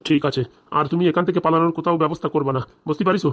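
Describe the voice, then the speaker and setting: A woman's voice: low-pitched, fairly even intonation, rapid-fire, natural voice. A woman voicing a weary, exasperated village police officer in a comic cartoon, nagging and accusing. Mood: warning.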